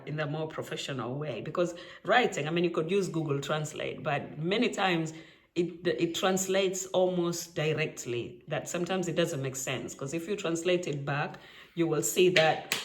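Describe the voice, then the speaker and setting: A woman in her thirties from Kenya with a Kenyan accent, talking casually to camera at home and laughing often. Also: sits low. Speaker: vlogger. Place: quiet home.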